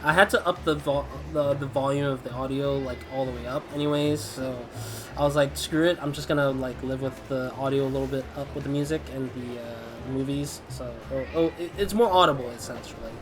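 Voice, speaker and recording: A man's voice: medium pitch, fairly even intonation, a little fast. Stuffy-nosed man doing gaming commentary. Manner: chatty, rambling, laughs often. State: slightly stuffy from a cold. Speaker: gaming YouTuber. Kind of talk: let's play commentary. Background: game music.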